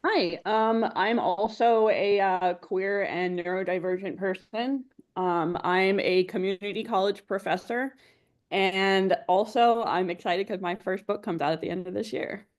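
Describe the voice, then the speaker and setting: A woman's voice: medium pitch, steady tone, unhurried. A woman panelist, a college professor, speaking in a matter-of-fact, explanatory way over a video call. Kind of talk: panel self-introduction.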